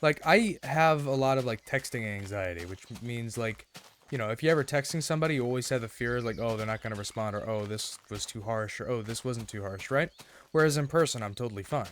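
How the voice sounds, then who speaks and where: A man with a slightly raspy, rough throat giving casual, rambling gameplay commentary in an earnest way, often checking in as he goes. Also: mid-range voice, brisk, slight background noise. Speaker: gaming video host.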